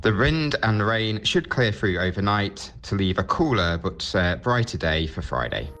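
A man reading a scripted weather forecast in a measured, informative manner. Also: fairly low pitch, animated, a bit bright.

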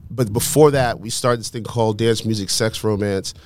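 A man's voice: lowish voice, fairly even intonation, moderate pace, darkish tone. A middle-aged man, a podcast guest chatting and telling stories in slangy, conversational speech. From New York, USA. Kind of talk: casual chat, storytelling.

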